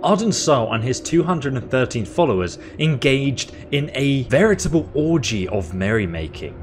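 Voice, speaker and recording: A man's voice: medium pitch, sing-song, moderate pace, a little hiss or hum. A man hosting a game playthrough with casual commentary and a playful, mock-dramatic manner. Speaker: gaming video host. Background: game soundtrack.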